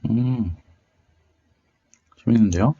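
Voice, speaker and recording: A man's voice: mid-range voice, slow, mellow, husky. A man hosting a tech demo, thinking aloud in a casual, musing, tentative way as he comments on his screen. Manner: musing, tentative, murmuring to himself. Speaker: host of a tech video channel. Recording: dull, lo-fi microphone sound.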